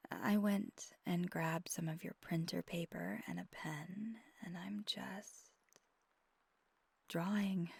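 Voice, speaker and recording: Woman as vampire girlfriend, ASMR roleplay, whispered, tender and playful, unhurried, close and dry sound. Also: fairly low pitch, no room sound, full character voice. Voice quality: breathy, mostly whispered. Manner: slow, gentle, teasing, hesitant pauses.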